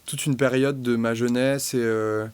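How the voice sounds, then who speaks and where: A young French man, a guest musician, talking casually and reflectively, with many pauses, fillers and restarts. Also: mid-range voice, steady tone, darkish tone, smooth, dry recording. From France. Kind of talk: casual podcast conversation.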